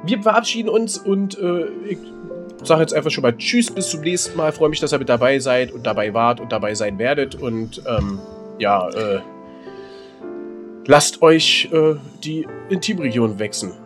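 A man's voice: mid-range voice, moderate pace, music in the background. A man co-hosting a casual podcast, joking around and breaking into sung snippets. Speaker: podcast co-host. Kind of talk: casual chat.

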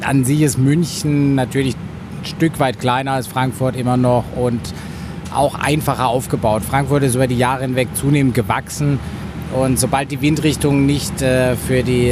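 A man from Bavaria, an airline pilot, answering questions in a casual interview. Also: medium pitch. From Bavaria, Germany. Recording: clear vehicle-like ambient noise.

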